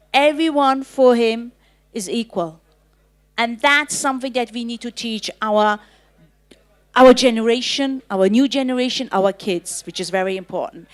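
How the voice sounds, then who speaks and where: A woman in her fifties speaking earnestly and reflectively on a panel about her pledge. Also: on the high side.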